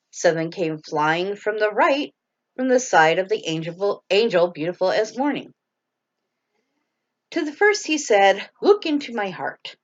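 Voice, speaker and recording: A woman's voice: mid-range voice, muffled recording. A woman reading a bedtime story aloud to her children, stumbling over hard words and laughing at herself.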